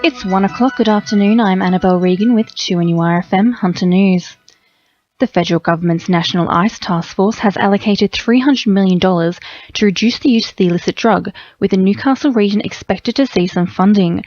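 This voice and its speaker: A woman's voice: mid-range voice, a little fast, a little mellow, no echo, faint music behind. A woman with an Australian accent reading a scripted radio news bulletin. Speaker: radio newsreader. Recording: slightly dull radio audio.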